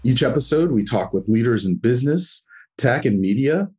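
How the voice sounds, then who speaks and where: A man's voice: medium pitch, animated, normal speed, a little mellow, breathy edge. A man hosting a podcast, reading sponsor copy and asking questions in a friendly, enthusiastic way. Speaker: podcast host.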